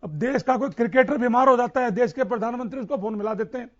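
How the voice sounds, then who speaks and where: A man's voice: extremely high pitch, fast talker, dull audio. A man speaking as a farmer leader in an impassioned political debate, insistent and repeating phrases for emphasis.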